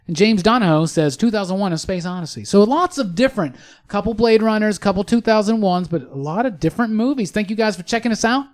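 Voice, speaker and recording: A man's voice: sits high, quick pace, few pauses. A man co-hosting a podcast in casual chat, with a playful, teasing manner. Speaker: podcast co-host.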